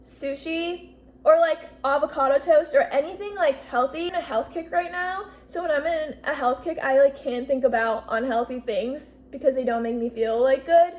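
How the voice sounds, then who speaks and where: Bubbly high-voiced young woman. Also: normal speed, a little mellow. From US Northeast. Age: 20s. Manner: bubbly, chatty, heavy use of "like" and "literally". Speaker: YouTuber. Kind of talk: casual Q&A vlog.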